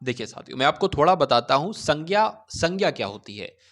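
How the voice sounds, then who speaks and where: Higher-pitched male exam teacher. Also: big pitch swings, a little fast. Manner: instructive, repeatedly asks questions and answers them himself; addresses listeners directly with repeated check-in phrases. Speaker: online exam-coaching teacher. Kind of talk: Hindi grammar lesson for exam aspirants.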